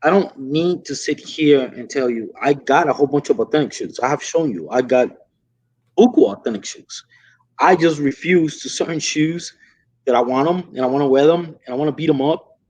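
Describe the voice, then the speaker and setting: A man casually explaining sneakers in quick, rambling stretches. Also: fairly high pitch, a little mellow, rough recording. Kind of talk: casual chat.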